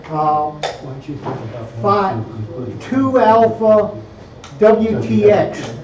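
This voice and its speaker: A man's voice: sits high, sing-song, takes it slowly, a little mellow. A man taking part in a practice radio exchange, answering with short call signs. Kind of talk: short amateur radio call-sign replies. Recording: echoey room, distant dull mic, crowd.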